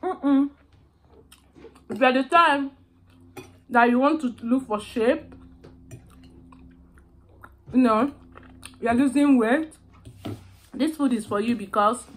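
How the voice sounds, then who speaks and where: A young Nigerian woman whose first language is Igbo, filming a mukbang eating vlog and humming slowly with pleasure between bites. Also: a little high, slight background noise. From southeastern Nigeria. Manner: slow, savoring, with drawn-out hums between bites. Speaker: food vlogger.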